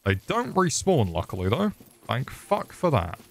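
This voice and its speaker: A man's voice: medium pitch, huge pitch swings, unhurried, gravelly. A young man streaming a game, swearing and joking sarcastically as he plays. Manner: sweary, sarcastic, crude jokes. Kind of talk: swearing live gameplay commentary.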